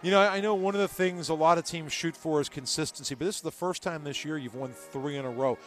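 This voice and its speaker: A man's voice: fairly high pitch, fast, faint music behind. A middle-aged American man hosting a post-game sports interview on a headset mic. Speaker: sports broadcast host. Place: courtside in an indoor gym.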